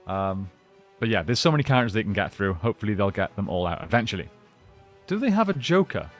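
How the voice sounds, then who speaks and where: A man's voice: fairly low pitch, big pitch swings, quick pace, faint music behind. A man giving a casual unboxing and review, talking informally and thinking aloud as he goes. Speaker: toy reviewer and collector.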